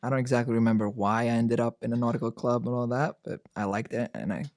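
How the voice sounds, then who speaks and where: A man's voice: medium pitch, quick pace, no room sound, studio quality. A young man from Greece, a college sailing captain, answering interview questions casually with lots of "you know" and "like". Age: young adult. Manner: relaxed, uses many fillers like "you know" and "like".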